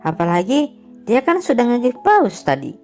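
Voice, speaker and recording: Coquettish young wife voiced by a woman, higher-pitched. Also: very animated, normal speed, a little mellow, soft background music. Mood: musing, casual. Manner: casual, coquettish. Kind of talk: animated drama dialogue, colloquial Indonesian slang.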